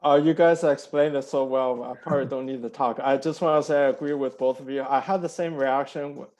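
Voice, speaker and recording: A man in a casual group discussion who talks quickly and modestly, with some uh fillers. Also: mid-range voice, steady tone, darkish tone, rough recording. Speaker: discussion participant.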